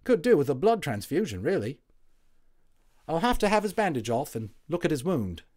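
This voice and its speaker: A man's voice: a little high, big pitch swings, normal speed, a little mellow, pitched above the performer's own voice. A man voicing a talkative Cockney First World War army medic in a storybook reading. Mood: brisk. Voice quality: chatty, rough-and-ready working-class speech.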